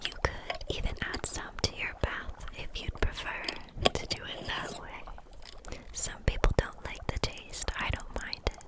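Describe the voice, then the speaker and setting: Female, whispered ASMR roleplay as gentle kitchen witch; very slow, soothing delivery.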